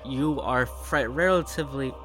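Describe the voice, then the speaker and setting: Bright-voiced man hosting over music. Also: medium pitch, moderate pace. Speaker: game master and host interviewing a player. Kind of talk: scripted intro narration and character interview. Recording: music behind the voice. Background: background music.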